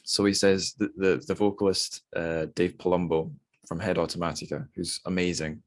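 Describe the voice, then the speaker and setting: A man's voice: sits low, level tone, normal speed. A man hosting a podcast, chatting casually about literature in a rambling, self-deprecating way. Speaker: podcast host.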